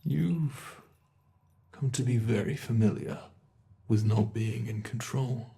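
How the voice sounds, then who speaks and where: A man voicing a sadistic male monster in an ASMR horror roleplay, talking in a slow, taunting way that relishes cruelty. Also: medium pitch, vocal fry, warm tone. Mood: musing, cruel.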